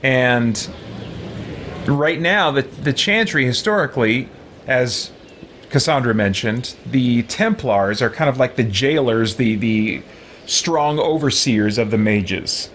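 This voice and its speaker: A man's voice: medium pitch, animated, takes it slowly, a bit bright, a little hiss or hum. A man hosting a gaming video, giving casual roleplay commentary and explaining his choices as he thinks aloud.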